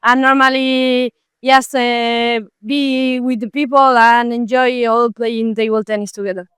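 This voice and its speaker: A woman's voice: on the high side, level tone, unhurried, fairly bright tone, clean tone, no echo. A Spanish woman in her twenties, a table tennis player, answering questions in simple phrases with fillers. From Spain. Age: 20s.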